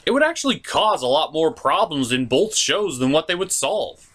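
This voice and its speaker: A man hosts a comedic review with a forceful, bright delivery. His pitch sits a little high and swings widely, giving his opinionated commentary a theatrical feel. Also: big pitch swings, moderate pace. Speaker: host and anime reviewer.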